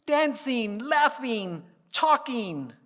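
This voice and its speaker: A man who is a pianist and composer, talking casually to a concert audience and reciting a poem in a playful manner, with many chuckles and dramatic pauses. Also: very high-pitched, big pitch swings, a bit bright. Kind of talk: informal stage talk and poem recitation. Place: concert hall stage. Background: faint audience laughter.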